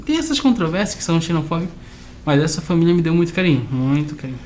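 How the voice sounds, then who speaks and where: Male interview guest, slightly high voice, conversational storytelling with frequent check-in tags, some background noise. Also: lively intonation, rapid-fire, darkish tone. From grew up between southern Brazil and Argentina.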